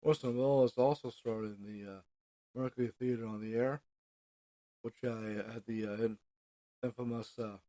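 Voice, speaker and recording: An American man hosting an informal solo podcast, reading from notes in a halting way and stumbling over names. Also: mid-range voice, steady tone, dark tone, no echo. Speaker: podcast host.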